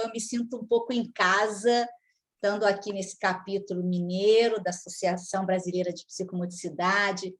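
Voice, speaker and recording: A middle-aged woman opening a lecture as guest speaker, effusive and emotional, repeating herself. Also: mid-range voice.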